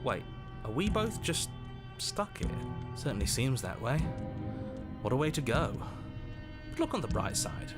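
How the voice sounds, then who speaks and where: An actor playing a wry, melodramatic narrator and bickering inner voices in a scripted game, theatrical and emphatic. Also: male voice, mid-range voice, big pitch swings, unhurried, long pauses. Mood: wry, uneasy. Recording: loud music behind the voice. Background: loud game music.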